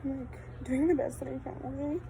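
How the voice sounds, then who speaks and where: A woman's voice: sits high, steady tone, fairly quick. A young adult woman whose first language is Spanish, chatting casually and rambling with lots of fillers while she does a makeup tutorial outdoors in a backyard. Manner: casual, rambling, self-deprecating, frequent fillers. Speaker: beauty vlogger. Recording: outdoor wind and ambient noise.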